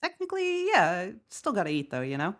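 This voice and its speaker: A woman's voice: on the low side, huge pitch swings, a little fast, a bit bright. A woman playing a wry, weary woman who gripes sardonically and swears freely in a conversational audio drama table read. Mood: self-deprecating. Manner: sardonic, swearing, exaggerated griping. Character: Lucretia Evans, wry, griping, weary woman football-game player.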